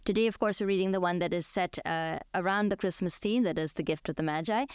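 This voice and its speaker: Low-voiced, smooth-toned female show host. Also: quick pace, no room sound, studio quality. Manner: chatty, with frequent fillers. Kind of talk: casual on-air chat.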